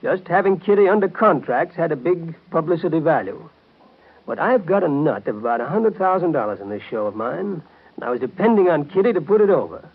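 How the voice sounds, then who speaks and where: An actor playing a regretful, defensive theatrical producer, earnest and hesitant, in a 1930s radio soap. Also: male voice, a little high, very animated, a little fast. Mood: strained, defensive. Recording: muffled narrow-band 1930s radio broadcast.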